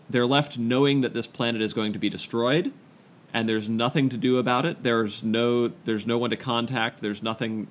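A man casually discussing books as a podcast co-host, halting and repeating phrases as he thinks. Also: medium pitch.

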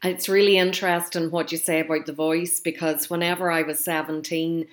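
A middle-aged woman with a Northern Irish accent speaks in a low-pitched voice. She talks conversationally, as a podcast and radio host does when interviewing a guest. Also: little pitch movement, fairly quick. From north of Ireland.

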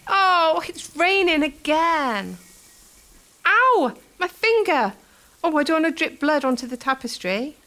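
Candid townswoman woman acting in radio play, highish and really animated. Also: a little slow, full character voice. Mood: startled. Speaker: community theatre actor.

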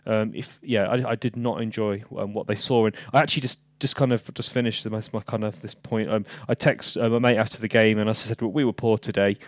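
A man's voice: fairly low pitch, fairly even intonation, fairly quick, few pauses, no room sound. Earnest man, hesitant, dry close sound. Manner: hesitant, many "um" fillers, earnest. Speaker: football podcast co-host. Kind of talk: casual football chat.

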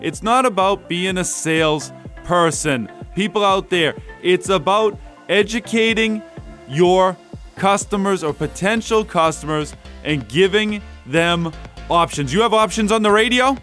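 A man's voice: high voice, unhurried, a bit bright, soft background music. A man hosting a local radio talk show, chatty and upbeat, rambling a little as he talks.

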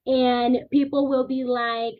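A woman's voice: high-pitched, slow. A woman in her thirties, born in the Dominican Republic, speaking earnestly as a podcast guest in long, flowing stretches of thought. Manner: earnest, animated, talks in long chains of clauses. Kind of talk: podcast interview. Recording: tinny phone-like line.

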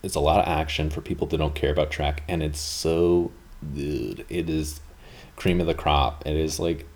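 A man's voice: rumbling low, steady tone, normal speed, darkish tone. A man in his thirties from Canada, hosting a podcast in a casual, rambling monologue full of fillers and asides. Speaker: podcast host.

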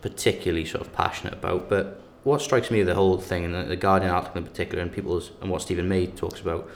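Deep-voiced man, conversational co-host, hesitant then emphatic; faint hiss. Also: fairly even intonation, very fast, gravelly. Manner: hesitant, many restarts, emphatic bursts. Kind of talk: casual academic discussion.

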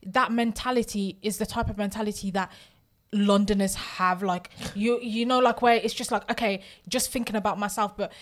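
A woman's voice: medium pitch, fairly even intonation, brisk, few pauses. Young woman, podcast co-host, talks over people, keeps saying "like". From Birmingham, England. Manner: insistent, talks over others, repeats "like". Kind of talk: casual debate.

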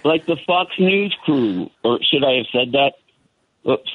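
A man's voice: mid-range voice, expressive, measured pace. An older man calling in to a radio show, chatting in a friendly, advising, rambling way. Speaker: caller. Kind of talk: phone-in chat with host. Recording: tinny phone line.

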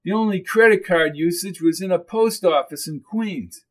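A man's voice: high-pitched, normal speed, voice pushed up. A man voicing a bossy, impatient tough guy who talks bluntly and urgently in a crime story. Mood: urgent, agitated.

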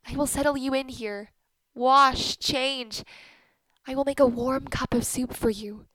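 A woman acting a bitter, commanding older wife and mother in a radio play, speaking deliberately and imperiously with emphasis. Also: sits high, steady tone, a bit bright, no echo, low-quality recording.

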